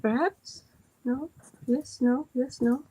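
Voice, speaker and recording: A woman with a Swedish accent, a native Swedish speaker, chatting casually on a livestream, halting, with many fillers and restarts. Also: high voice, fairly even intonation, warm tone, slight background noise, low-quality recording. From Sweden. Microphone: headset.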